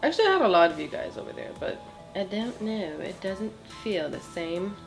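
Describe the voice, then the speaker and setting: A woman's voice: mid-range voice, sing-song, moderate pace, gravelly, soft background music, low-quality recording. A woman in her twenties with a nasal voice from a cold, chatting through a makeup tutorial in a small home studio, apologetic and full of ums and likes. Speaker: beauty vlogger. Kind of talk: casual makeup tutorial chat.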